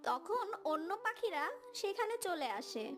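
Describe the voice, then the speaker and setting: A woman's voice: extremely high pitch, sing-song, measured pace. A woman narrating a scripted children's cartoon story.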